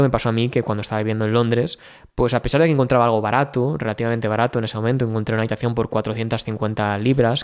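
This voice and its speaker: Man, from Spain, casual vlog, mid pitch, smooth resonant voice, filler-heavy. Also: darkish tone. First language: Spanish. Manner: chatty, thinking aloud with many fillers and restarts. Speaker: vlogger. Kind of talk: casual personal vlog talk.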